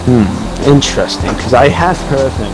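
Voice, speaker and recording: A man's voice: medium pitch, a little fast, music in the background, natural voice, rough recording. A man playing a casual magical companion in an improvised Minecraft roleplay, repeating short phrases. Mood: casual, curious. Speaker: roleplay player.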